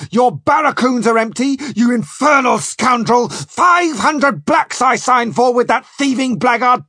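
A gruff sea captain, performed by a male narrator, bullying and quick-tempered. His delivery swings widely and often climbs into a bark, recorded close and dry for an audiobook. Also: mid-range voice, a bit bright, no room sound, heavily acted. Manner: growling, barking, bullying. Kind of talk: dramatised audiobook dialogue.

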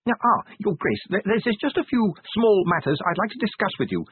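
A man voicing a fussy little government clerk in an audiobook, husky, hesitant, polite and pedantic. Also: sits high, big pitch swings, a little fast, no room sound, pitched above the performer's own voice, full character voice. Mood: fussy insistence. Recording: tinny, narrow-band radio-like audio.

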